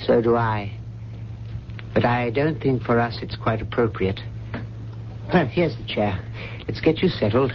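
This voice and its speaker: An actor playing a devoted, deferential male helper to an ailing lady in a 1970s radio drama, gentle, polite and attentive. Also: medium pitch, measured pace, long pauses, close to an everyday voice. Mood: tender, restrained. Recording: muffled, noisy old radio broadcast.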